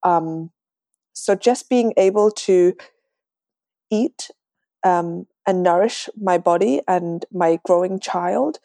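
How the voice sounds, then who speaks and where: A woman's voice: lowish voice, slow pace, vocal fry, warm tone, no room sound, clean studio sound. A woman in her twenties with a slightly Americanised London accent, chatting casually as a podcast guest. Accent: London English, slightly Americanised. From London, England. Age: 20s. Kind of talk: casual conversational interview.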